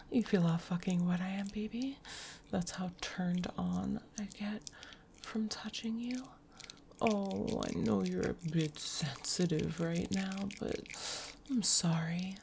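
A woman speaking in a close, breathy whisper, slow and teasing, in an intimate audio roleplay. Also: medium pitch, darkish tone, a little hiss or hum. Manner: teasing, possessive, slow and lingering.

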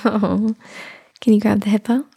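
A woman in a soft-spoken roleplay, whispering and cooing in a soothing way. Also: medium pitch, little pitch movement, unhurried. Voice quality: whispery, breathy. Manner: cooing, soothing, talks as to an infant. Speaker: roleplay performer.

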